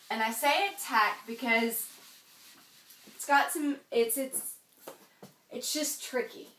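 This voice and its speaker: A woman from the United States teaches French grammar in a calm, unhurried way. She walks through each rule patiently and checks the class understands. Her voice comes from a distance in an echoey room, with a faint hiss underneath. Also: on the high side.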